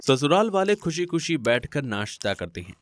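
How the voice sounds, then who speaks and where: Animated male storyteller with swooping pitch. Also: moderate pace, soft background music. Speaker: narrator. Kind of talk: scripted dramatic story narration.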